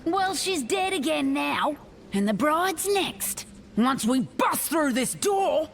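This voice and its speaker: A woman's voice: sits high, expressive, takes it slowly, gravelly, exaggerated voice. A woman voicing a brash, fearless young warrior heroine who talks back defiantly. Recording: game music behind.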